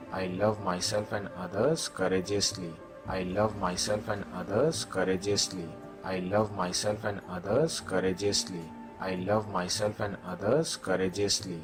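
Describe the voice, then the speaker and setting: Clear music plays around a man with a low-pitched voice. He speaks slowly as a meditation guide, giving short instructions and affirmations in set, repeated phrases.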